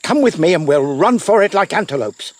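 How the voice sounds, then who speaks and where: An actor playing a stern yet fatherly gentleman doctor, formal and emphatic, with a husky voice, in an audio drama. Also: high-pitched, expressive, fairly quick, no room sound. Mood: stern, pained.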